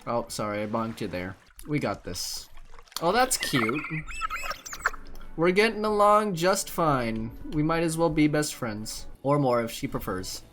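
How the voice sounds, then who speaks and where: A man's voice: a little high, sing-song, unhurried. A man giving casual, playful gaming commentary, reacting expressively as he plays. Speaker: gaming streamer. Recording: game music behind commentary.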